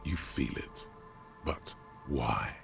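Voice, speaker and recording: An actor playing a video game's inner-voice narrator who delivers measured, ominous observations. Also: male voice, very deep voice, creaky, over music, exaggerated voice. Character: deep, gravelly male inner voice narrating.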